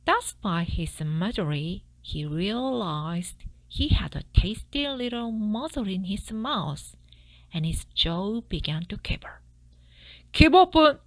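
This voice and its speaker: Woman reading a storybook, slow and lively. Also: mid-range voice, no echo, rough recording. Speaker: storybook reader. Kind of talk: expressive children's read-aloud narration. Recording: background music behind the voice. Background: background music.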